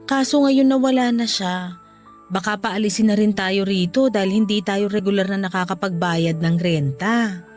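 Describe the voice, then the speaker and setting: A woman's voice: medium pitch, steady tone, moderate pace, darkish tone, faint music behind, natural voice. A woman playing a poor, anxious but loving mother in a Filipino radio drama, with a tender, careworn manner. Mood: worried.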